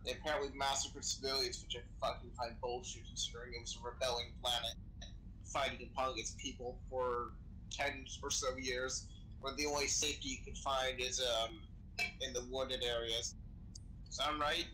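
A man's voice: mid-range voice, level tone, moderate pace, sharp tone, close to an everyday voice, low-quality recording. A man playing a chatty, sweary sci-fi crewman who knows his weapons, improvising casually in a game roleplay. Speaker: Star Wars roleplay player. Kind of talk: improvised in-character gaming chat. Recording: gaming voice chat with background noise.

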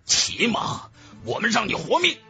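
A man's voice: high voice, wildly swooping pitch, a little slow, creaky, sharp tone, husky, soft background music, voice pushed up, full character voice. A man voicing an anxious, cold rival swordsman in a fantasy audiobook, with urgent, emphatic delivery. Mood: indignant.

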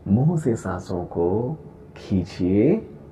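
A man leading a guided meditation in a calm, gentle, deliberate way, with long pauses between phrases. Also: on the low side, noisy background. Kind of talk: guided meditation, slow soothing instruction. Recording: voice over background music. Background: meditation music.

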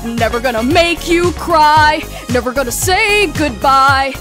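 Brief: woman streamer, loose karaoke singing, high voice, unhurried. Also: expressive, music in the background. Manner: singing along loosely, fumbling some words. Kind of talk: karaoke singing over a backing track.

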